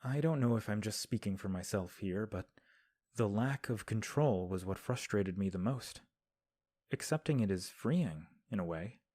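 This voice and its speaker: An actor playing a composed young male prince who gives counsel in a measured, formal way, recorded at home. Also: fairly low pitch, expressive, darkish tone, dry recording. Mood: calm. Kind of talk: audio drama acting.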